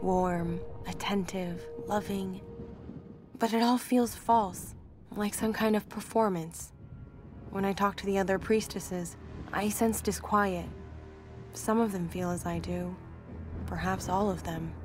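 A young priestess, played by a woman in a game, confides in her diary with earnest, measured words. She sounds dutiful yet uneasy, at a middling pitch, with soft music behind her. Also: fairly even intonation, close to an everyday voice. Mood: troubled. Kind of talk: acted reading of a diary.

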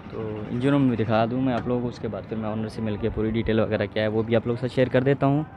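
Male vlogger, brisk walk-around pitch, outdoor market noise. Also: steady tone, lo-fi sound. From Pakistan. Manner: brisk salesy patter, questioning sellers. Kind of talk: walk-around car review vlog.

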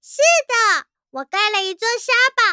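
Cartoon baby shark: cute, stubborn small child, piercingly high, bright, Taiwanese Mandarin. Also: animated, moderate pace, dry recording, studio quality, heavily acted. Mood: cheerful. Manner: cute, childlike, whiny when refusing.

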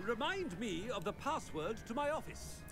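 A man voicing a pompous, flustered male headmaster who blusters and hesitates, clearing his throat. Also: very high-pitched, sing-song, normal speed, music in the background, heavily acted.

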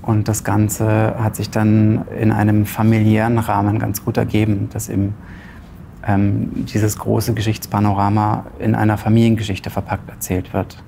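A man's voice: on the low side, completely flat delivery, creaky, warm tone, a little hiss or hum. A man in his thirties from Romania, a playwright answering interview questions hesitantly with frequent fillers, in a large ornate hall on a lapel mic. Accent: Zipser German dialect. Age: 30s. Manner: hesitant, pauses often with fillers.

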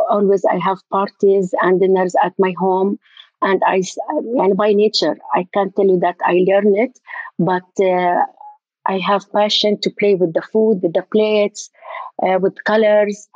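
Older woman from Jordan, halting speech. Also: medium pitch, a little mellow, dry recording, dull audio. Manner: halting, searching for words. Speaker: event designer, guest. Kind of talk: interview conversation.

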